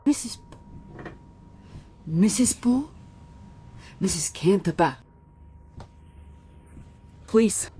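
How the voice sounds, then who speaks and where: A woman's voice: mid-range voice, lively intonation, warm tone, a little hiss or hum. An actress playing a startled, uneasy married woman who speaks hesitantly in a radio play. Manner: hesitant, halting.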